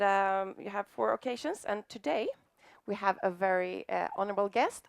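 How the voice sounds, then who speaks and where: A middle-aged woman introducing a seminar and its guest in a friendly, halting way. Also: mid-range voice, gravelly, dry recording. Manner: friendly, halting, many fillers. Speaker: event host.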